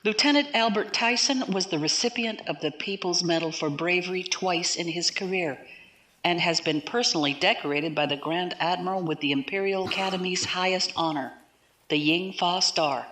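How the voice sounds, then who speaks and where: Female space navy defence lawyer played by a woman, slightly low register, formal orator, earnest and persuasive, audio drama. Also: moderate pace, a bit bright, natural voice. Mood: earnest, impassioned. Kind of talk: science fiction audio drama acting.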